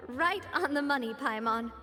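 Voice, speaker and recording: High-voiced, poised young gang boss. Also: female voice, lively intonation, normal speed, music in the background. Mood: friendly. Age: young adult. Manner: composed, assured. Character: young female leader of a gang, poised and confident. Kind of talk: video game character acting.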